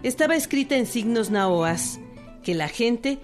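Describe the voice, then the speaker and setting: A woman's voice: a little high, lively intonation, a little slow, soft background music. A woman reading scripted narration for a historical radio drama.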